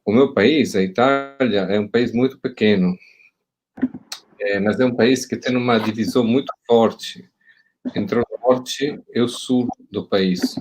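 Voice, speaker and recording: A man's voice: mid-range voice, measured pace, darkish tone, slight background noise, poor audio. A middle-aged man from Italy speaking Portuguese with an Italian accent, giving a hesitant academic talk over a video call with many fillers and restarts. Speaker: guest professor.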